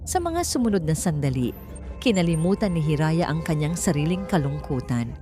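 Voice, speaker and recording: A woman's voice: low voice, sing-song, normal speed, warm tone. A woman with a Filipino accent reading a scripted story as an audiobook narrator. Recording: music bed under the voice. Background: background music.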